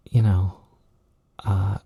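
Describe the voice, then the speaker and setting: Low, very mellow male voice, gently reassuring. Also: vocal fry, husky, no room sound, highs cut off. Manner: hesitant, halting, self-deprecating. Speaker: audio creator giving comfort and validation. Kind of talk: intimate, informal comfort monologue.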